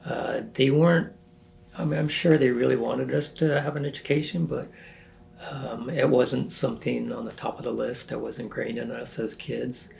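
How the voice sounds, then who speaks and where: A man in his sixties from northern California, recalling his life in a halting, self-correcting way as an oral history interviewee. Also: medium pitch, fairly even intonation, vocal fry, darkish tone. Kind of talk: reflective recollection.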